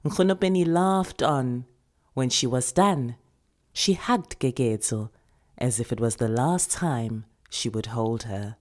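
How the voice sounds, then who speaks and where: A woman's voice: extremely low pitch, slow pace, darkish tone. A woman with a South African accent reading a literary short story as a scripted narration.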